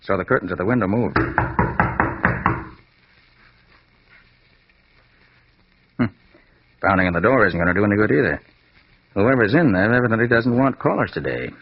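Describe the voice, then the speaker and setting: An actor playing a confident, shrewd master detective in an old radio drama, speaking with brisk authority. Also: male voice, fairly low pitch, expressive, slightly rough, no room sound. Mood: shrewd, assured. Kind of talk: 1940s-50s radio drama acting. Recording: muffled, noisy vintage radio recording.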